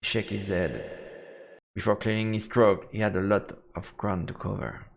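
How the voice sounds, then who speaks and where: A man's voice: fairly low pitch, fairly even intonation, normal speed, vocal fry. A man streaming a visual novel, reading the game's narration aloud. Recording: game music behind the voice. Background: game music.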